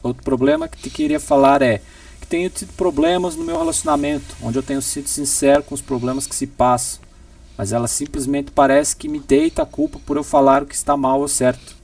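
A man plays earnest young Portuguese letter writer, troubled, exaggerated singsong delivery, very lively pitch, slightly gravelly. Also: fairly quick, recorded from a distance, exaggerated voice. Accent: Portuguese, imitated.

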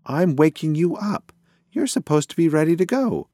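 Young girl mouse, read by a man, storybook style: bossy, insistent, raised pitch, lively. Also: normal speed, no echo, clean studio sound. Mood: eager, insistent. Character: spirited, bossy young female mouse, pigeon's friend. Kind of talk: children's storybook character voice.

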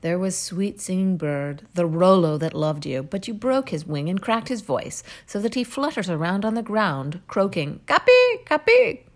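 A woman reading aloud, voicing a proud, defiant young male hero-king who declaims loudly. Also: lowish voice, very animated, moderate pace, few pauses. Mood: scornful.

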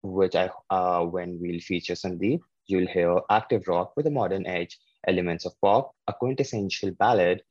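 Deep-voiced male podcast host, dry sound. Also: steady tone, a little mellow, no echo, muffled recording. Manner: halting, restarts words often. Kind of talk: casual interview.

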